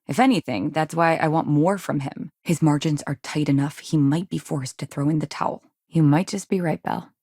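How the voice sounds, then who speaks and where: A woman's voice: sits low, fairly quick, hardly any pauses, darkish tone, breathy edge, no echo, studio quality. A woman acting in a video game as a frustrated, ambitious businessman's wife in a frontier city, with a polished, earnest, exasperated manner. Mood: earnest, persuasive.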